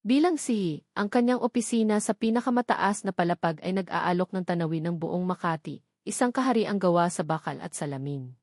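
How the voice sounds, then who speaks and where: A woman's voice: fairly low pitch, fairly quick, darkish tone, dry recording, clean studio sound. Filipino woman narrating a dramatic story.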